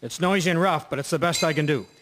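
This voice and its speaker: A no-nonsense municipal garage foreman, played by a man in a radio drama. His voice sits a little high, practical and matter-of-fact. Faint clinks of dishes sound behind. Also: quick pace, a bit bright, slight background noise, natural voice. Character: male municipal garage foreman managing plows.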